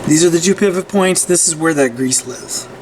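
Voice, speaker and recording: A man with a slightly high voice walks through a repair at his workbench, loose and conversational, often stopping to ask "right?" and restarting his sentences. A faint hum of room noise sits under his voice. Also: moderate pace. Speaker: repair channel host. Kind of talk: casual repair tutorial.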